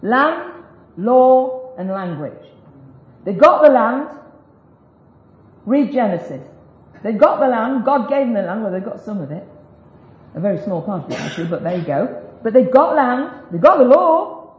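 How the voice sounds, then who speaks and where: A woman's voice: on the high side, big pitch swings, a little slow. Chatty woman teaching in an echoey room. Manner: chatty, self-deprecating asides, laughs at own jokes. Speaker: speaker teaching a group about biblical Hebrew. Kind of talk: informal Bible teaching talk. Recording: roomy, dull lo-fi recording of a talk.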